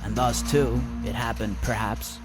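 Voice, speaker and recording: A man narrates a gothic horror story in a live one-take reading, with loud music playing under his voice. His delivery is solemn and deliberate, breaking the sentences into theatrical phrases that follow the music. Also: mid-range voice, steady tone, poor audio. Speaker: reader of a classic horror tale.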